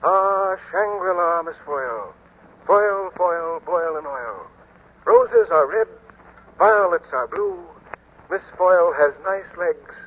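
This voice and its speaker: Bashful, smitten gentleman, highish voice. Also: expressive, slow, heavily acted. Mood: playful, pompous. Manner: polite, hesitant, earnest. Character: bashful, well-bred young magazine boss, smitten suitor. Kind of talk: 1940s radio acting. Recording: muffled, hissy 1941 radio recording.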